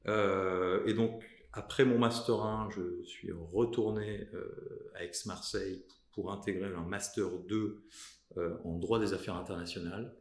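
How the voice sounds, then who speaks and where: A man in his thirties from southern France talks through his career in an interview, stopping often to hunt for words and start over. His voice sits very low and gravelly. The room around him echoes noticeably. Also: fairly even intonation. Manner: halting, many fillers and restarts. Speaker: lawyer guest. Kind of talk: podcast interview, recounting career.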